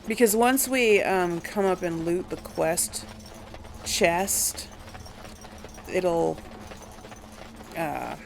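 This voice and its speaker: A woman's voice: lowish voice, very slow, creaky, pauses often, slight background noise. A woman giving casual commentary as she plays through a video game as host. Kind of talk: casual let's play commentary.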